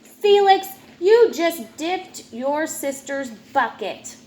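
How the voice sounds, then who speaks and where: A woman voicing a wise, gently chiding old grandfather in a picture book. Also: high-pitched, lively intonation, very slow, pitched above the performer's own voice, exaggerated voice.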